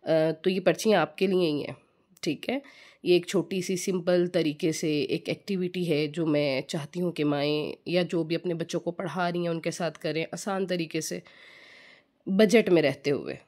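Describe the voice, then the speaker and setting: A woman with a slightly hoarse throat, giving a chatty home tutorial for mothers that mixes in English words. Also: lowish voice, fairly even intonation, normal speed, a little mellow. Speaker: home teaching channel host. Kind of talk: casual home tutorial talk.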